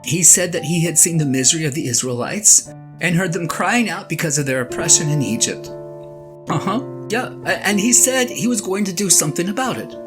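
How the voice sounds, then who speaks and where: A woman playing a sheepish, excitable eighty-year-old shepherd husband in an audio drama monologue, stammering and halting as he talks to his wife. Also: fairly low pitch. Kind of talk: audio drama monologue, one side of a conversation.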